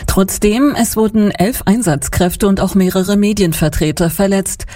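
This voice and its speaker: A woman reading the radio news in an even, measured way. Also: mid-range voice, no room sound. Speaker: newsreader. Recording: dry studio sound.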